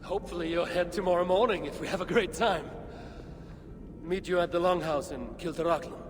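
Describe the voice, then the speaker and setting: A man's voice: high-pitched, steady tone, a little fast, music in the background, lightly acted. A man playing a bold, competitive, teasing Viking warrior in a video game. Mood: reassuring. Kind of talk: video game cutscene dialogue.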